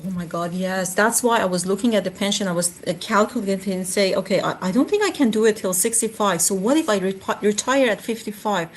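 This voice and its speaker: A woman's voice: mid-range voice, animated, fairly quick, hardly any pauses, poor audio. A woman, telling her story as an interview guest, talking with many fillers and restarts. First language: Farsi. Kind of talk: casual interview.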